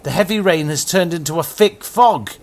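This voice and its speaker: A man's voice: a little high, moderate pace, slight background noise, pitched above the performer's own voice, natural voice. A man playing an anxious young storm survivor. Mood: worried. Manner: urgent, worried.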